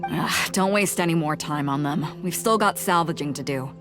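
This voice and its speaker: A woman's voice: low voice, normal speed, over music. A woman playing a confident, commanding female sea captain in a video game, wry and cool. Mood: businesslike.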